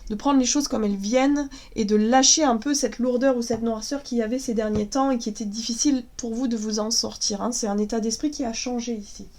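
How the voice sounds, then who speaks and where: A woman's voice: on the high side, mellow. A woman with a cold, giving a casual, hesitant tarot reading to viewers. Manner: hesitant, many fillers and restarts. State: has a cold, sniffly. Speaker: tarot card reader.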